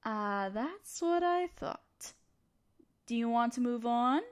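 High-voiced, unhurried young student crush acted by a woman. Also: expressive. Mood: playful, teasing. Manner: teasing, conversational, affectionate. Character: young female student, teasing but encouraging study-partner crush. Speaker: audio roleplay performer. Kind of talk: intimate scripted roleplay acting addressed to the listener.

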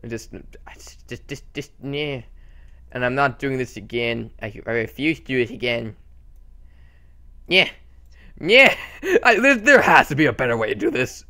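A man's voice: mid-range voice, unhurried, pauses often. A young man casually talking through a screen-recorded tutorial, rambling and correcting himself as he goes. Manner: rambling, self-correcting, excitable. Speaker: channel host.

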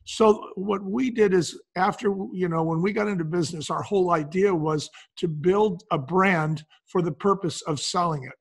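Husky guy, kinda high voice, business advisor type, folksy, asks himself questions then answers em. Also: moderate pace, hardly any pauses. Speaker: guest, business advisor and brand founder. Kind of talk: conversational explaining in an interview.

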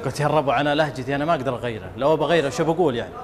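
Rapid modest Saudi contestant. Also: male voice, medium pitch, crowd noise. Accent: Saudi dialect. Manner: modest, keeps blessing and thanking. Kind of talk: answering a judge on live TV. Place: TV studio set. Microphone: lapel mic.

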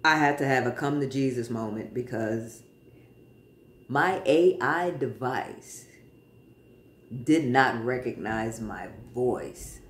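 A man in his forties talks to his camera from a living-room sofa in an easy, chatty way, pausing often. He speaks slowly at a middle pitch, and the small room lends his voice a noticeable echo. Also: slight background noise. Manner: relaxed and chatty, many pauses and fillers. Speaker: vlogger. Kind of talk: casual personal update to camera. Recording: roomy, echoey home room.

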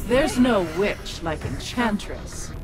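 A woman's voice: mid-range voice, expressive, takes it slowly, rough recording. A woman voicing an imperious, mocking ancient soul-devouring witch in a video game. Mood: menacing. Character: haughty, menacing ancient witch who devours souls. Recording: loud music behind studio game voice.

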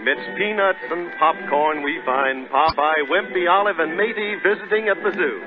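High-voiced 1930s male radio announcer. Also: moderate pace. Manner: folksy, enthusiastic. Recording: tinny 1930s radio recording, music behind.

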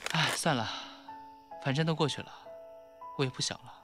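An actor playing a bitter, wounded young former doctor in an audio drama. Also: male voice, on the high side, moderate pace, lightly acted. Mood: resigned, bitter. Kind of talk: Chinese audio drama acting. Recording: music behind the voice.

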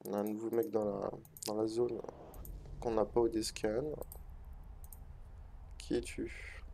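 A man speaks in a mellow, darker tone, stuffed up by a head cold. He talks through gameplay in an unhurried, hesitant way, with frequent fillers and restarts. Faint hiss sits under his desk mic. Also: fairly low pitch, level tone, gravelly. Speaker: gaming video host. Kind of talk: relaxed commentary over gameplay.

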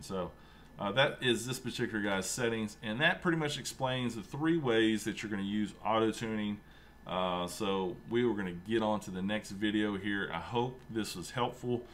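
Man, 30s, lowish voice, laid-back monotone, home setup, faint background hiss. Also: moderate pace. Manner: relaxed, chatty, many fillers, self-described monotone. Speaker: sim racing channel host. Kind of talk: casual screen-share tutorial. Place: home room with a racing rig.

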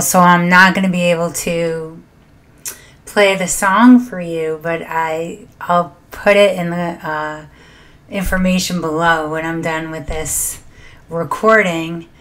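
A middle-aged woman hosting a reaction video, chatting to camera in a low, quiet voice from a small home room. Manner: soft-spoken, laughs between thoughts. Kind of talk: casual chat to camera.

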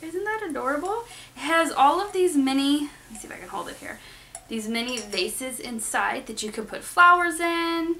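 A woman's voice: high-pitched, lively intonation, normal speed, pushed and bright, slight background noise. A young woman vlogging at home, chatting to the camera in front of her about a shopping haul. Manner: chatty, upbeat, talks to the camera. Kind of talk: casual shopping haul vlog. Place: bedroom at home, indoors. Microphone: camera in front of her face.

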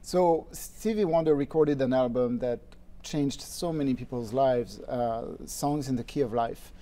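A man with a French accent talks in an easygoing way, often laughing, as a studio guest. His voice is mellow and a little dark, and it sounds close to the lapel mic on a TV set. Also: mid-range voice, a little slow. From France. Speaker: guest musician. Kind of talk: casual interview chat.

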